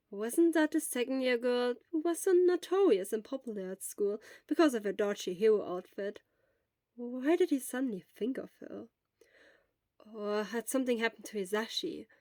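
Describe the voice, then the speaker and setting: Casting: woman narrator for an audiobook, soft, slightly high voice, dry close recording. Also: no echo. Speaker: fan fiction reader.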